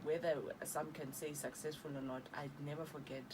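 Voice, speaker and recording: A woman's voice: sits low, fairly even intonation, fairly quick, noisy background. A South African woman in her thirties, speaking earnestly as a guest on a radio interview and switching languages mid-sentence. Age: 30s. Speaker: guest, author and evangelist. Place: radio studio.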